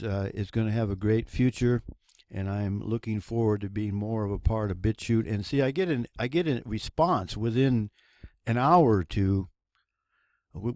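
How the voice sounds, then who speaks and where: A man from the United States talks alone to his channel audience in a low voice. He speaks slowly and deliberately, pressing his points like a preacher and often stopping to restart a phrase. The sound is dry and close, with no room echo. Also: a little mellow. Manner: slow, deliberate, preachy emphasis with halting restarts. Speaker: channel host. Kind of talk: solo video monologue. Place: cold home running on a generator.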